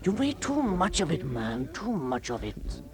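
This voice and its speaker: An actor playing a miner who firmly reproaches a comrade. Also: male voice, a little high, huge pitch swings, a little slow, a crowd in the background, exaggerated voice. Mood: reproachful. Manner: firm, reproachful, pleading.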